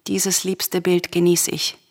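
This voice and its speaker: An actress playing a grieving, defiant princess and devoted sister in a solemn, declamatory radio tragedy. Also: female voice, on the low side, monotone, slow. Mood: rapturous. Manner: declamatory, intensely emotional. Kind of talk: solemn radio drama acting of verse tragedy. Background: music.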